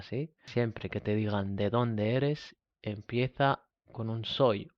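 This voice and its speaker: A man from Spain teaching a beginner Spanish lesson, slowly repeating each example phrase. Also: medium pitch, dry recording, dull audio.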